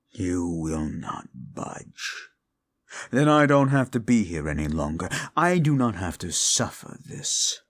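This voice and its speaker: A man's voice: deep voice, gravelly, full character voice. A man voicing a proud, scornful male demon of desire in an audio roleplay drama, who talks down to the listener with theatrical contempt. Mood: cold, mocking.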